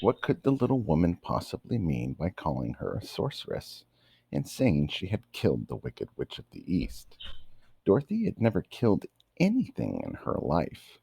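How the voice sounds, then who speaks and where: A man with a gravelly, husky voice reading a children's bedtime story aloud in an expressive, dramatic manner. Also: low voice, moderate pace, no room sound.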